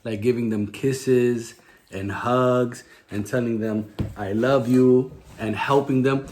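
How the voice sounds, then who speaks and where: A man's voice: mid-range voice, steady tone, slow pace, a little mellow, slight background noise. A man hosting a children's story time, explaining simply in a chatty way and often checking with "right?". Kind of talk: children's storytime reading with casual chat.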